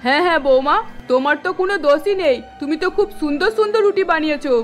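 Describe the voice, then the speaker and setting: Woman acting a high-voiced stern mother-in-law. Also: quick pace, soft background music, close to an everyday voice. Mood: angry, sarcastic. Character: stern older mother-in-law, head of household. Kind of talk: Bengali cartoon voice acting.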